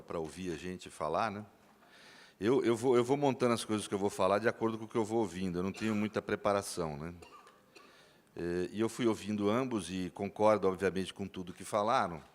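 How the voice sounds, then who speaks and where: A man with a lowish voice opens a conference talk in a relaxed, informal way, with plenty of fillers. His words ring out with a long hall reverb. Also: brisk. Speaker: law professor and panel speaker.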